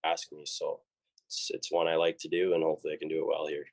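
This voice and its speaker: A man's voice: rumbling low, monotone, quick pace, creaky. A young man with a Canadian accent, a college hockey player answering interview questions in a laid-back way, full of fillers and restarts. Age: young adult.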